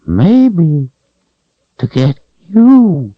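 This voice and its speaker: A man's voice: very animated, extremely slow, husky, dry recording, highs cut off, heavily acted. A man voicing a cringing, hairless creature that whines, hisses and pleads in broken speech, in a storybook reading. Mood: whining. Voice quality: shrill whining, broken speech. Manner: whimpering, pleading, hissing. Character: cringing hairless creature, sly, whiny, grasping.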